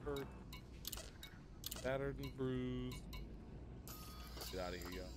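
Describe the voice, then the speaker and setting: A man's voice: medium pitch, takes it slowly. A man giving casual, rambling, self-deprecating live commentary as he streams a game. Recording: clear background noise.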